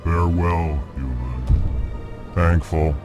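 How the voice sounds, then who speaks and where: A man playing a ponderous alien merchant in a video game, speaking in a flat, formal, deliberate way and announcing each feeling. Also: extremely low pitch, music in the background. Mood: ponderous, polite. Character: slow, ponderous alien merchant who announces his emotions.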